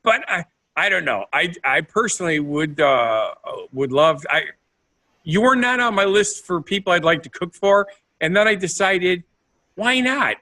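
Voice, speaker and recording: A male podcast co-host chatting casually, playful and a bit rambling. His voice sits fairly high and bright. His pitch swings widely as he talks. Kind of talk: casual chat.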